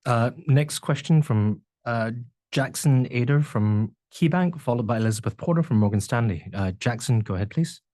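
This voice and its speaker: A male moderator runs the question queue on an earnings call. He has a medium-pitched voice and makes short announcements. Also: lively intonation, normal speed, dark tone.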